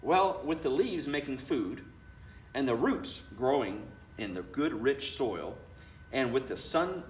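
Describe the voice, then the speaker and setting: A man voicing a friendly woman pumpkin farmer who explains things emphatically in a children's picture book read-aloud. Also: mid-range voice. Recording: roomy, distant mic, faint noise.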